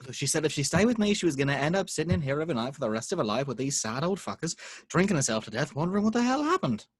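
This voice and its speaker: A man's voice: a little high, very animated, very fast, breathy edge, no room sound. A man playing a glum, heartbroken, defensive young man in an amateur comedy table read.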